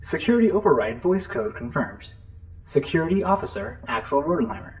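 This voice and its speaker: Male actor as shipboard AI: slightly high male voice, dulled audio, impeccably polite and formal, sci-fi audio drama. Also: big pitch swings, a little fast, muffled recording. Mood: polite, unbothered. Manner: formal, courteous, precise. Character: polite, unhelpful male shipboard computer on a spaceship. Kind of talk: science fiction audio drama.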